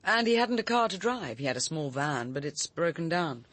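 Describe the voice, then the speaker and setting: An actress playing a brisk, self-possessed, sharp-tongued Englishwoman in a radio drama, speaking formally and firmly with an English accent. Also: low voice, sing-song, full character voice. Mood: matter-of-fact. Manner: crisp, formal, firm.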